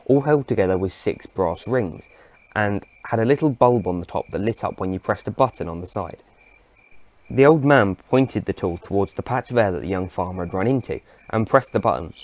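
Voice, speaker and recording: Low-pitched man narrating a story. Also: darkish tone.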